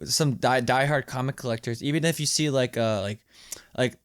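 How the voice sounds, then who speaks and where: A man's voice: medium pitch, normal speed, smooth, no room sound. Man chatting casually on a podcast, dry sound. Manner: rambling, repeats words and phrases for emphasis. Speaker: podcast co-host. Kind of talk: casual podcast chat about movies.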